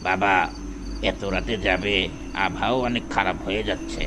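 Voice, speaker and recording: A man's voice: low-pitched, fairly even intonation, moderate pace, lightly acted. A man playing a kindly, concerned older village fishmonger in an audio horror story. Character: kindly older village fish-seller uncle, concerned, insistent. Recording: night ambience noise with crickets.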